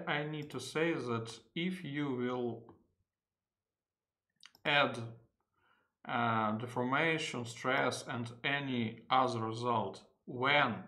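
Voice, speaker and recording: A man with a Russian accent and Russian as his first language, giving an unedited software tutorial in English, halting often with fillers and correcting himself. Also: medium pitch. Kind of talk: unedited screen-recorded tutorial.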